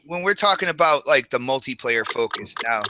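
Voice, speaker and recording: A man's voice: mid-range voice, expressive, brisk, noisy background. A man, likely middle-aged, talking casually and emphatically on a gaming podcast in slangy, rambling turns. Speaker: podcast co-host.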